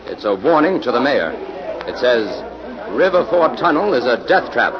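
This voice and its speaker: A man's voice: medium pitch, sing-song, moderate pace, a crowd in the background, close to an everyday voice. A man playing a composed, determined investigator in an old-time radio drama. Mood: grave. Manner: composed, probing, businesslike. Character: calm, determined male investigator working with police. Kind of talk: 1940s radio acting. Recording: muffled, noisy old radio recording.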